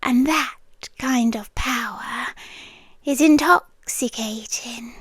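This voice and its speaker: A British woman playing an obsessive, possessive landlady girlfriend in an intimate ASMR roleplay, soft and breathy, often dropping into whispers, close to the mic. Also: fairly high pitch, no echo, heavily acted. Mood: obsessive, menacing. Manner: slow, intimate, coaxing, possessive. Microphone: close, dry microphone.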